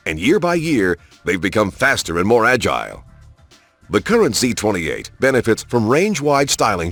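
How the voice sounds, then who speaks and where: A man's voice: mid-range voice, a bit bright, husky. A man reading polished, scripted promotional narration in an upbeat, salesman-like way. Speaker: narrator of car showcases in a video game. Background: music.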